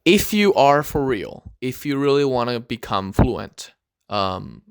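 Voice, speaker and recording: A man's voice: mid-range voice, lively intonation, clear, resonant tone. Earnest young man giving advice. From Argentina. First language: Spanish. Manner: earnest, encouraging, sometimes slow and stressed. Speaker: vlogger. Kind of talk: casual advice talk to camera.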